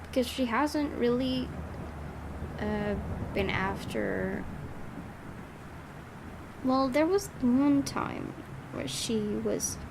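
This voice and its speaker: A woman playing a game and reading its story aloud, thinking out loud as she puzzles over what is going on. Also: on the high side, lively intonation, extremely slow, vocal fry, pauses often, noisy background. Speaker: game streamer. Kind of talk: Let's Play commentary and reading aloud.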